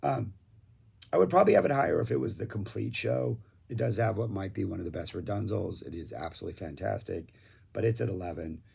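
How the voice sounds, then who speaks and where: A man's voice: on the low side, quick pace, darkish tone. A man losing his voice, chatting casually and enthusiastically as he reviews concert recordings, rambling with lots of fillers. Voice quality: gravelly, raspy. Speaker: music reviewer.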